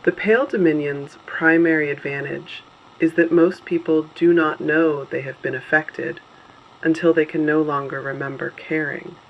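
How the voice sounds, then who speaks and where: Muffled audio with background noise, performer playing grave resistance radio announcer, unhurried, measured, solemn, steadfast, scripted dystopian drama. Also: mid-range voice, fairly even intonation, lightly acted. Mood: measured, cautionary. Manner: measured, solemn, deliberate short phrases.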